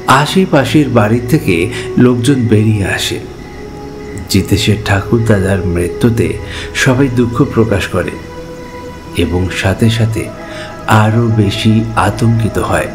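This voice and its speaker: Slow male horror-story narrator over music. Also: fairly low pitch.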